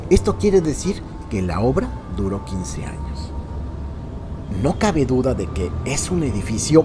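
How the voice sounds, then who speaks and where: A man's voice: fairly low pitch, warm tone. A man with a Mexican accent giving theatrical, descriptive scripted narration for a radio crime serial. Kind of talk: dramatized scripted narration, present-tense guided tour. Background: background music.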